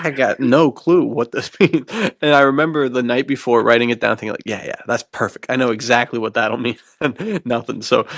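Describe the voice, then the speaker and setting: A man's voice: mid-range voice, vocal fry, a bit bright, no room sound, clean studio sound. A man co-hosting a podcast, chatting casually and telling self-deprecating stories in colloquial language. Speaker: podcast co-host and writer.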